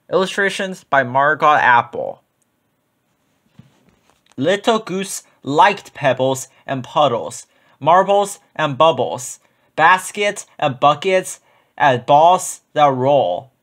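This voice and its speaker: A man narrating a children's picture book in an expressive read-aloud style. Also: on the high side, takes it slowly.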